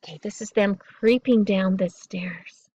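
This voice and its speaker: A woman reading a children's bedtime story aloud in an expressive, deliberate way, drawing out key words. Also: medium pitch, slightly rough, no room sound, muffled recording.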